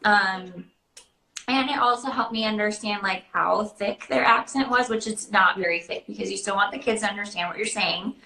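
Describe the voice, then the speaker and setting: A woman with a bright, forward voice chats informally as she answers questions. Her phrasing is loose and halting, full of "like", and the room around her sounds noticeably echoey. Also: mid-range voice, gravelly. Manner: informal, hesitant phrasing peppered with "like". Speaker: guest answering questions about character voices. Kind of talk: casual Q&A chat.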